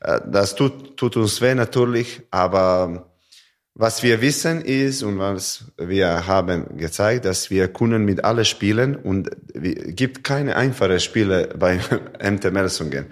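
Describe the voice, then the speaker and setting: Very deep-voiced man in halting German. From Montenegro. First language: not German. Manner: halting non-native German with many fillers. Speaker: handball player. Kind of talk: podcast interview.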